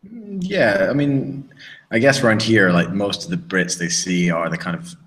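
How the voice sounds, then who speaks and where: A British man with a very low voice chats on a podcast, and his bright, forceful tone carries through his rambling, restart-heavy sentences. He wanders through his points with plenty of fillers. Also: moderate pace. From Britain. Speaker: podcast co-host. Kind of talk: casual chat. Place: living in a small town in southern Spain.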